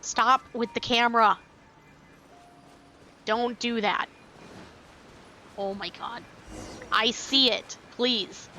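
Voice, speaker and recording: A woman's voice: a little high, fairly even intonation, slow pace, long pauses, bright, forceful tone. A woman livestreaming a game with casual commentary, reading dialogue aloud and muttering to herself. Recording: faint game music behind the voice. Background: game music.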